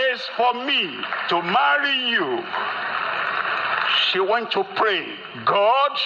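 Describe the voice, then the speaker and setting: An older man preaches in a sharp, ringing, high-set voice. He is emphatic and deliberate, driving phrases home by repeating them. The sound is slightly dulled, with faint noise behind him. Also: vocal fry, lo-fi sound. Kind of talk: emphatic sermon to a congregation.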